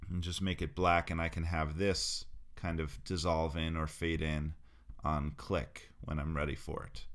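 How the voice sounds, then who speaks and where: A man narrating a screen-recorded software tutorial, explaining each step as he clicks through it in a relaxed, casual way. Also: very deep voice.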